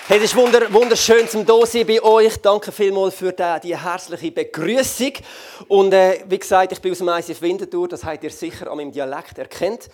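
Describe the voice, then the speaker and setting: A man in his thirties from the Basel region with a Swiss German accent, speaking as guest pastor on a church stage, lively and joking, with many fillers. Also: high-pitched, fairly quick, a faint crowd. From Basel region, Switzerland. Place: large church hall on stage.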